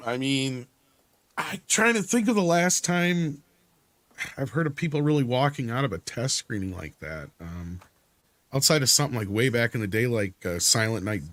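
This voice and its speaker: A man's voice: medium pitch, lively intonation, normal speed, dry recording. A man hosting a live stream, chatting informally and jokingly while reading out viewer comments. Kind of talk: casual panel chat.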